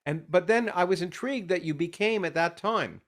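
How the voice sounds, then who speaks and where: A man in his sixties hosting a podcast interview, talking in halting, stop-start phrases. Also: sits high. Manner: halting, many restarts and fillers. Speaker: podcast host. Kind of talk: interview conversation.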